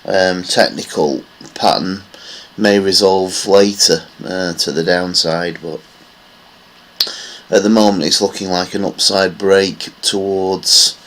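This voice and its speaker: A man's voice: low-pitched, fairly even intonation, slow pace, fairly bright tone. A man giving casual, rambling commentary on silver price charts, with many fillers. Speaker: market analysis vlogger.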